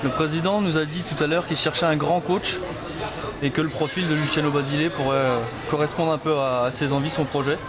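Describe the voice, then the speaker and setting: A male sports reporter asking quick questions in an interview. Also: on the high side, steady tone. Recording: very loud crowd behind.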